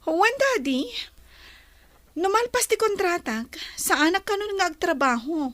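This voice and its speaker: An ailing young daughter far from home in a radio drama. Her voice is extremely high and plaintive, with a trusting temper. The recording is very dry. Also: lively intonation, a little slow, fairly bright tone, no echo, full character voice. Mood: earnest, yielding. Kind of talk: Ilocano radio drama acting.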